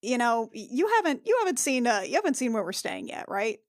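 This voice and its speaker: A woman playing a tabletop roleplaying game, improvising dialogue in a casual, halting, informal way. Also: fairly high pitch, very animated, no echo, studio quality.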